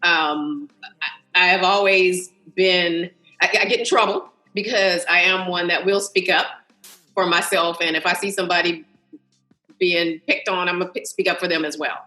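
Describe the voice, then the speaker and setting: A woman's voice: fairly low pitch, soft background music. An American woman in her early fifties chatting casually and animatedly on a video call, telling family anecdotes as a talkative podcast guest. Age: early 50s. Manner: animated, talkative, quick to laugh. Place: indoors, on a Zoom video call. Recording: roomy, echoey video call.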